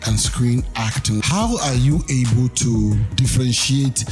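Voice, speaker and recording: A man's voice: medium pitch, measured pace. A man asking questions as an interviewer. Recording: loud music behind.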